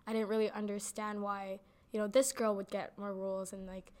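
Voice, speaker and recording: A woman's voice: mid-range voice, little pitch movement, a little fast, darkish tone. A young woman giving casual interview answers in a chatty way, with lots of "like" fillers. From Canada. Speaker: interviewee, actor.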